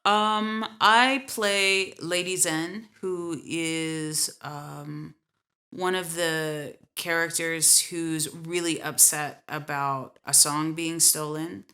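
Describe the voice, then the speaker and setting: A woman's voice: deep voice, steady tone, drawn-out words, sharp tone. A woman, a voice actor, talking casually in an interview.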